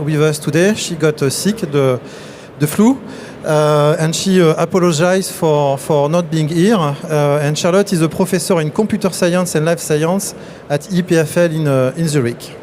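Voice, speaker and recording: A man moderating a conference panel on stage, halting with many fillers and restarts. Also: fairly high pitch, a little mellow, slight background noise. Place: large exhibition hall on stage.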